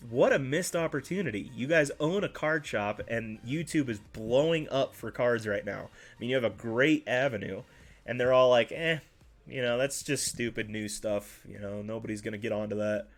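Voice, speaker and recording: A man's voice: mid-range voice, fairly bright tone. Slightly nasal man chatting on a livestream. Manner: chatty, rambling, with self-corrections. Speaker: card-collecting livestream host. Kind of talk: casual livestream chat with viewers.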